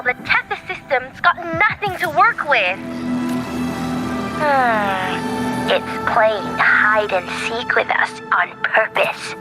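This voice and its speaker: A woman's voice: high voice, full character voice, poor audio. A woman playing a quirky young tech-savvy helper in a video game, chirpy and informal in the way of talking. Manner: chirpy, informal, colourful phrasing. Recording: music clearly behind the voice.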